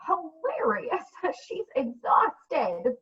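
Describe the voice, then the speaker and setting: High-pitched woman narrator, distant mic. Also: huge pitch swings, extremely slow, fairly bright tone, highs cut off. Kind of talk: comedic scripted narration.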